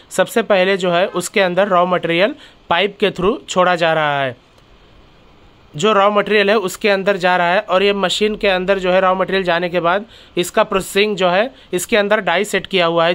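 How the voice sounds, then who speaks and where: High, clear-voiced man giving a factory tour. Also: a bit bright. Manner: explains step by step, keeps addressing viewers as friends. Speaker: channel host. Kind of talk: factory tour vlog narration.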